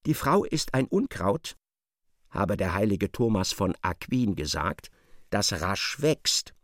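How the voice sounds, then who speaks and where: A man acting as the sardonic narrator of a literary radio play, articulate and expressive. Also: lowish voice, slow, dry recording, studio quality, exaggerated voice. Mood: measured. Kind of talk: dramatised scripted narration.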